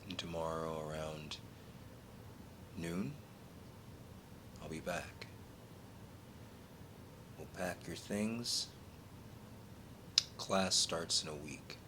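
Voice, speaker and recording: A man with a very low voice speaks slowly over a clearly noisy, rumbling recording. He performs a soft-spoken ASMR role play as a calm recruiter for a secret order, reassuring the listener in short, paused phrases.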